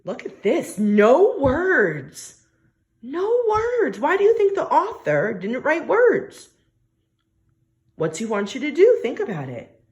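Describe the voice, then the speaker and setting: A woman teacher reading a story aloud to young children, expressive and coaxing, often asking questions and stressing key words. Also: sits high, slow. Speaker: primary school teacher.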